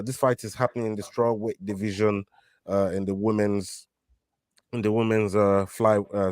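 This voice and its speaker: A man's voice: on the low side, no room sound, clean studio sound. Casual man hosting fight picks. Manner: hesitant, many fillers and restarts. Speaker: host of a fight prediction show. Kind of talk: casual betting picks chat.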